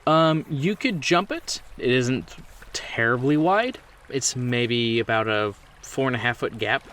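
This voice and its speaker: A man running a tabletop horror game as game master, setting scenes in a deliberate, descriptive way. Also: mid-range voice, a bit bright.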